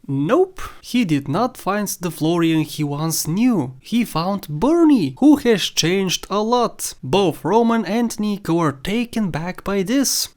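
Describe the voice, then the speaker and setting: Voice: medium pitch, a little mellow. A narrator giving scripted commentary on a video game top ten list.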